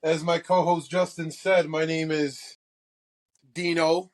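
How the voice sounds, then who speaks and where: Brash, boastful young podcast host. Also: male voice, a little high, little pitch movement, slow pace, a little hiss or hum. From Croatia. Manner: brash, boastful, swears freely. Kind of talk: casual sports chat.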